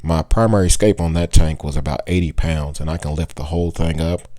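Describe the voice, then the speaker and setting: Young adult male, casual tips voice-over, deep voice, dry close sound. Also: fairly quick, no room sound. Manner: relaxed, chatty, gives tips with small laughs. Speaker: aquarium hobbyist vlogger.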